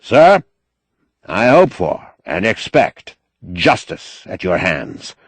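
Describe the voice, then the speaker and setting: A man's voice: lowish voice, wildly swooping pitch, takes it slowly, husky, no echo, full character voice. A man voicing a wily, indignant, eloquent doctor with pompous, ornate oratory in an audiobook. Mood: pleading, insistent. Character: wily, indignant, eloquent male doctor, master of his house. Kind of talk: audiobook character acting.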